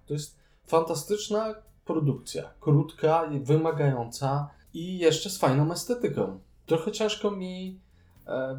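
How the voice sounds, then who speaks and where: A man's voice: a little high, darkish tone. A man in casual conversation, co-hosting a podcast and giving his opinions as a reviewer. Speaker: podcast co-host reviewing board games. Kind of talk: casual chat, board game review.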